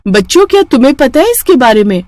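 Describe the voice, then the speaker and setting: A woman voicing a kind, humble, pious younger sister and mother in a storybook tale, gentle and earnest. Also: high voice, very animated, fast, no room sound. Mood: puzzled.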